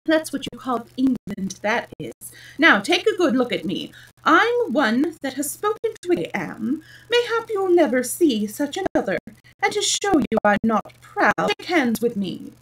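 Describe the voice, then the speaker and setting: A woman's voice: high-pitched, very animated, a little slow, a bit bright, voice pushed up, exaggerated voice. A woman acts out a self-important egg of a man from a children's storybook. He speaks in a raised, emphatic voice, irritable and condescending, as if everyone else is beneath him. The sound is slightly distant from the microphone. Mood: boastful, condescending. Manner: haughty, snappish, emphatic. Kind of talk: storybook character reading.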